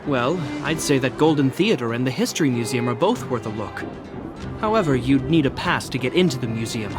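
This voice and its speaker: A man's voice: medium pitch, animated, a little fast, music in the background, natural voice. A man voicing a courteous, dutiful guard officer in a video game, polite and helpful in a formal way. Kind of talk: English video game dub acting.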